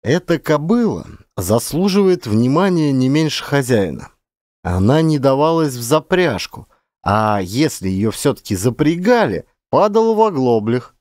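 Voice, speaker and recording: A man reading literary prose as a dramatic audiobook narrator, expressively, with shifting emphasis and pauses. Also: mid-range voice, big pitch swings, darkish tone, dry recording, clean studio sound.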